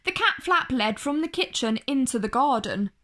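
A woman reading a children's story aloud in an expressive way, phrasing each line clearly and deliberately for young listeners. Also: sits high. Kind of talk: slow, expressive picture-book read-aloud.

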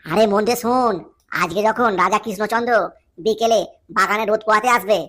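A woman's voice: mid-range voice, steady tone, a little fast, fairly bright tone, close to an everyday voice. A woman voicing a scheming, power-hungry male minister in a comic cartoon, with a bossy, scornful manner. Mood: scheming, impatient.

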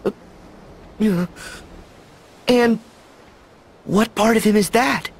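An actor playing a plucky, earnest young adventurer in a video game, with a boyish voice. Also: male voice, sits high, very slow, creaky, breathy edge, exaggerated voice. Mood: curious. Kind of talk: video game cutscene acting.

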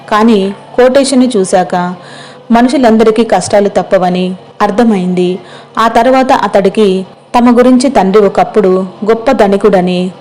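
A woman's voice: medium pitch, a little mellow. A woman storyteller reading scripted narration for a moral tale.

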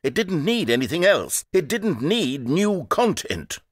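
An actor voicing a fussy, self-important male game narrator with a slightly husky voice, dry and sarcastic. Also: fairly high pitch, big pitch swings, unhurried, no room sound, exaggerated voice. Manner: dry sarcastic, theatrical swings from indignant to wounded. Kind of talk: scripted video game narration. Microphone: close studio mic. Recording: dry studio recording.

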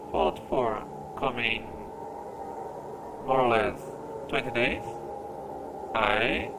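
A Brazilian man hosts casual gameplay commentary with a native Portuguese speaker's accent. He talks slowly and hesitantly with lively swings in pitch, over clear background noise. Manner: hesitant, expressive. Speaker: gamer, gameplay host.

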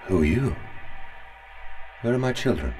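An actor playing a haunted, remorseful father in a video game, speaking in a brooding, mournful, deliberate way. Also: mid-range voice, sing-song, a little mellow, faint music behind. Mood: anxious. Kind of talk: dramatic video game monologue.

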